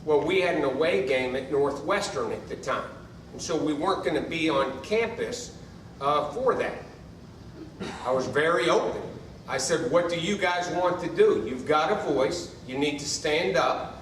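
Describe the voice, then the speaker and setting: A man's voice: on the high side, expressive, normal speed. A man, a college football coach, answering a panel question in an earnest, reflective way, repeating phrases for emphasis. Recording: echoey room, mic far off, faint noise.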